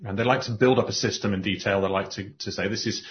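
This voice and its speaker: Low-voiced man, quick animated chat. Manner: animated, restarts often, bursts of speed. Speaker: podcast host and coach. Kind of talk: casual conversation. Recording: muffled lo-fi audio.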